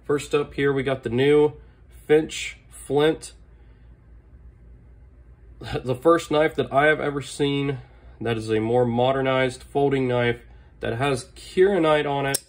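Hoarse man, knife-channel host, casual and enthusiastic, throat clearing, desk recording. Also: medium pitch, fairly even intonation, takes it slowly, long pauses. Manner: enthusiastic, clears throat often, filler-heavy. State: hoarse, recovering lost voice. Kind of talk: casual product show-and-tell. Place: indoors at a desk. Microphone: overhead camera on table, hands only.

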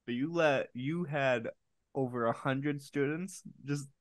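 A young man hosting an interview, asking eager, quick follow-up questions on a headset mic from his home. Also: medium pitch. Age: young adult. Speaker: interviewer, host. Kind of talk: casual interview chat. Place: home room. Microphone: headset with boom mic.

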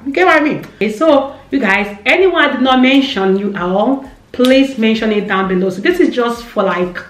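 Animated Nigerian woman vlogging in a roomy room. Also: medium pitch. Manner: animated, repeats phrases, gestures a lot with hands. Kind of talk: casual business advice chat. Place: indoor room with shelves. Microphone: camera in front, seated. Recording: roomy echo.

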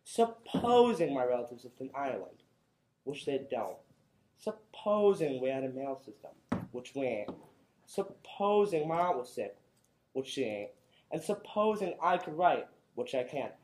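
A man's voice: medium pitch, very animated, measured pace, darkish tone, a little hiss or hum. Young guy acting a dim suspicious villager, blunt n baffled, voice jumps around, roomy theatre stage sound. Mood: earnest, literal. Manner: baffled, blunt, rustic phrasing. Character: simple, suspicious, literal-minded illiterate male villager. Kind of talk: live comic stage play acting. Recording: roomy, echoey stage recording.

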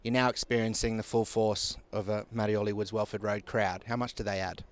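A man interviewing a player pitchside after a match, asking brisk, direct questions. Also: little pitch movement. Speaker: club interviewer. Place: outdoor stadium pitchside at night. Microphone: handheld mic.

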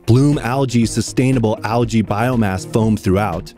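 A man reading a steady, informational product description voice-over. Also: lowish voice, a little slow, faint music behind.

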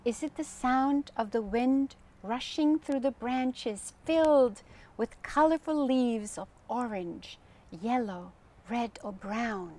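An older woman reading a children's story aloud as a storytime host, in a gentle, expressive manner that addresses young listeners. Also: on the high side, slow. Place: outdoors on a garden bench.

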